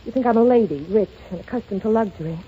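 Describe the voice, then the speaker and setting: A woman's voice: mid-range voice, quick pace, husky. A refined young shop girl. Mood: confessional. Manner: refined, gently teasing. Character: young shop-girl woman posing as a rich lady. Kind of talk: 1930s radio drama acting. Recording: lo-fi old radio broadcast with music.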